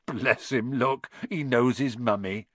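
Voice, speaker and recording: A man playing a doting big softhearted storybook man. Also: mid-range voice, slow, no echo. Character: anxious doting big man besotted with his baby dragon.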